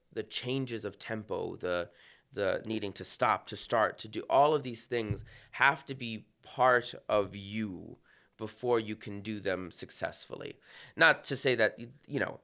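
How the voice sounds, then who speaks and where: A man's voice: fairly low pitch, slow pace, vocal fry, sharp tone, smooth. A conductor being interviewed on a podcast, talking in a reflective way and often restarting his sentences. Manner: reflective, many restarts and fillers.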